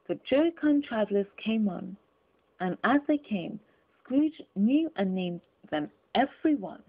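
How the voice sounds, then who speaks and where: A woman reading classic literature aloud as an audiobook narrator, in an expressive, deliberate storytelling manner. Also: sits high, phone-quality sound. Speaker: audiobook reader.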